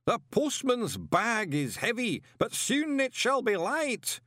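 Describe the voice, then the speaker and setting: A man voicing a pompous ceremonial lodge master in an audiobook, intoning solemnly in a booming voice. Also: sing-song, takes it slowly, fairly bright tone, no echo, clean studio sound, full character voice. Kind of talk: audiobook character voice.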